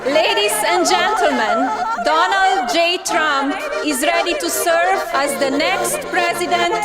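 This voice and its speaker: Woman giving a rally speech, really high voice, huge crowd noise and music. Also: slow pace. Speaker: speaker at a rally. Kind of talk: formal political speech, projected.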